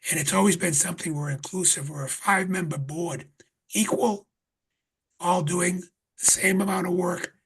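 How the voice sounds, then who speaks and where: A man chairing a town board meeting in a conference room, speaking earnestly with restarts, his voice getting raspy. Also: sits high, normal speed, a bit bright, rough recording. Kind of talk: public meeting remarks.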